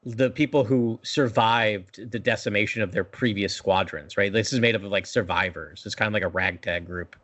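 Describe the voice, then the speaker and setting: A man's voice: fairly low pitch, normal speed, hardly any pauses, a bit bright. A man co-hosting a casual podcast review, eager and often checking for agreement, on slightly muffled audio. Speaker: podcast co-host. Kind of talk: casual book review discussion. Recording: dull lo-fi audio.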